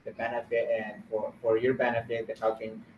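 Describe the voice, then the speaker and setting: Guy w talking on a webinar, kinda halting, muffled roomy audio. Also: mid-range voice, monotone, recorded from a distance, slight background noise. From Guatemala. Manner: halting, searching for words. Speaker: community organizer on a panel. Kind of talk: informal webinar talk.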